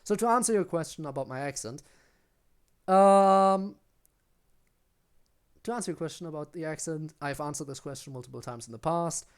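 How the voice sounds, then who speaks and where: A man's voice: a little high, moderate pace, pauses often, clean tone. A German man with a German accent, chatting casually with viewers during a live game stream, rambling and often restarting his sentences. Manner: rambling, with frequent restarts while answering chat. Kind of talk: casual live-stream chat while gaming.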